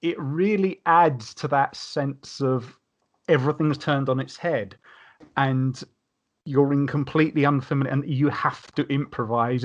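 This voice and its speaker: Emphatic man telling anecdotes. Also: medium pitch. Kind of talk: casual podcast discussion.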